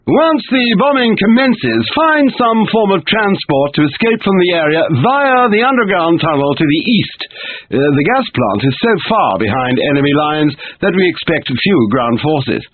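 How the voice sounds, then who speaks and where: A man's voice: fairly high pitch, big pitch swings, moderate pace. A man playing a military commander giving a formal, emphatic mission briefing in a game through a radio filter. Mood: authoritative. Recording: thin phone-like radio sound, faint noise.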